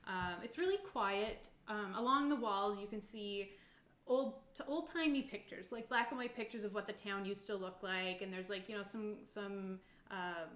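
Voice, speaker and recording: A woman running a tabletop game as game master, describing scenes informally and haltingly, piece by piece. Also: mid-range voice, fairly bright tone. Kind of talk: improvised tabletop game narration. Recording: roomy, echoing sound.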